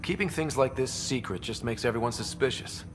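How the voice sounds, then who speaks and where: A man's voice: medium pitch, fairly quick, noisy background, close to an everyday voice. A man playing an earnest, wry young space explorer in a video game, with a casual, direct delivery. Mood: questioning. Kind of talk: video game cutscene dialogue.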